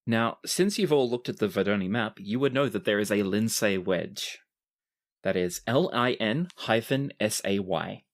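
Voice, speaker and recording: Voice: medium pitch, moderate pace. A game master narrating a tabletop roleplaying game, telling the story in dramatic detail and dropping into casual asides.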